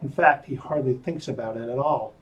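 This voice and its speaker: A man's voice: mid-range voice, a little fast, lo-fi sound. A man, a school superintendent, introducing a children's book and reading its summary aloud.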